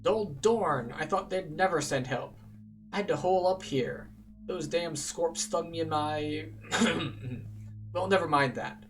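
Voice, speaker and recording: A man voicing a gruff, proud dwarf warder who grumbles bluntly, in a fantasy game. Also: a little high, slow pace, soft background music. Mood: gruff, pained.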